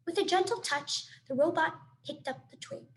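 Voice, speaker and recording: A woman reading a children's book aloud in an expressive, animated storytelling manner. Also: very high voice, normal speed, a little hiss or hum. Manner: animated, dramatic sound effects and asides.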